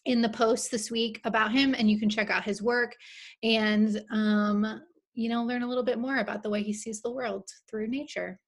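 A woman's voice: a little high, fairly even intonation, moderate pace. Bubbly woman hosting a podcast. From Ohio. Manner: bubbly, laughs often. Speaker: podcast host. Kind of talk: casual chat.

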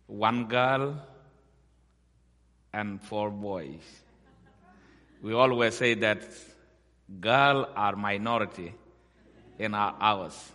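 A middle-aged man from Sudan, a missionary giving a guest sermon in slow, halting, simple English. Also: mid-range voice, bright, forceful tone, big-room sound. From South Sudan.

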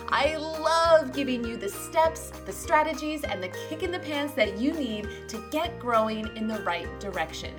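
A woman with a naturally loud voice hosting a podcast solo, talking in an upbeat, hyper and emphatic way. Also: on the high side, roomy sound. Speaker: podcast host, business coach.

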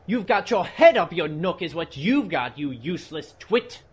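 A man playing a pretentious, prickly troll poet in a radio play, theatrical and quick to bicker. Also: on the high side, sing-song, husky. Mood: indignant. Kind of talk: fan-made radio play acting. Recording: music behind the voice.

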